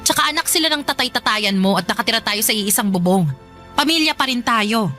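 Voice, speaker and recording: A woman's voice: on the high side, animated, a little fast, faint music behind. A woman playing a defensive, argumentative middle-aged mother who scolds and dismisses, in a Filipino radio drama. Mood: dismissive scolding. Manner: argumentative, sharp questioning retorts.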